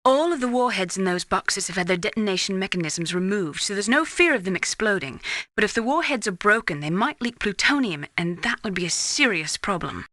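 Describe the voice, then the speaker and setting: A woman playing a composed, secretive scientist in a video game, explaining things in a cool, precise, measured way. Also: medium pitch, animated, bright, forceful tone, no room sound. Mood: clinical, informative.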